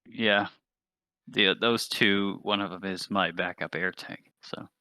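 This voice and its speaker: Deep-voiced, blunt man with a bright edge. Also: fairly even intonation, slow, clear, resonant tone, no room sound. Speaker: tabletop roleplay player. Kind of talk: casual in-character tabletop roleplay.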